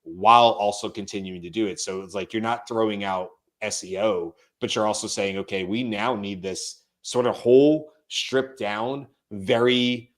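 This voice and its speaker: A man with a fairly low voice co-hosts a live talk show, chatting loosely with plenty of fillers. His voice is going hoarse. He sounds like a desk mic on a remote call. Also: normal speed, few pauses. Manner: relaxed, rambling, frequent fillers. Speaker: co-host. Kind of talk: casual live show chat.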